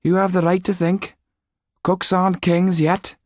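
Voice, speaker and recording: A man voicing a cheeky, irreverent male servant with a mocking, animated manner. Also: high voice, unhurried, no echo, clean studio sound, voice pushed up. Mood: defiant.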